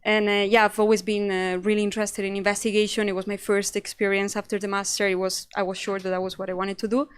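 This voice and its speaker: Smooth-voiced young Spanish woman, chatty. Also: mid-range voice, little pitch movement, fast, hardly any pauses. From Spain. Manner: chatty and self-deprecating, acts out her own past thoughts. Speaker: panel speaker, investigative journalist. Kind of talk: casual panel talk to students.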